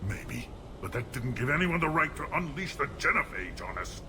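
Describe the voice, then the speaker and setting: An actor playing a gruff, proud, warlike alien clan chief in a video game, with a gravelly, menacing voice. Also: male voice, mid-range voice, big pitch swings, moderate pace, background noise, lightly acted. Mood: brooding, defiant. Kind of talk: video game character acting.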